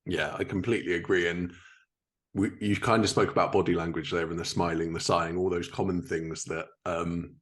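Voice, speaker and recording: A man with a low voice hosts a casual interview podcast. He talks in an agreeable, conversational manner, responding to his guest and steering the discussion along. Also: flat delivery, a little fast, fairly bright tone.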